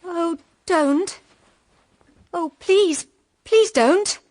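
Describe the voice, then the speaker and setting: A woman's voice: very high voice, very slow, a little mellow, exaggerated voice. An actress playing a naive, cheerful, sheltered young woman in a period radio drama, with a girlish, upper-class English manner. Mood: distressed. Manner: gushing, girlish.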